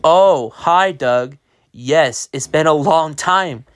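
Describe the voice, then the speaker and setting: A reader voicing an earnest young schoolboy in a homemade spoken story, pitched well above their own voice. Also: slow pace, natural voice. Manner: earnest read-aloud delivery. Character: excited young boy, proud and loyal.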